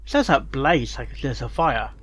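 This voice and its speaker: A man giving casual, rambling reaction commentary, speculating aloud and exclaiming excitedly. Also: medium pitch, a little slow, slight background noise. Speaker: reaction video host.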